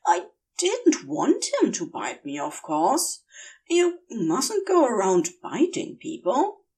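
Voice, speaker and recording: A woman's voice: mid-range voice, huge pitch swings, takes it slowly, a little mellow. A woman voicing a dazed, adoring male angel in an audiobook story, prim and sweet, with a drifting, politely fussy way of talking. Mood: mildly affronted.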